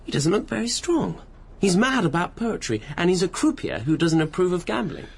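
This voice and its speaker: A man playing an earnest, curious and soft-hearted companion to a star in a radio mystery drama. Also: high voice, big pitch swings, moderate pace, gravelly, slight background noise, full character voice.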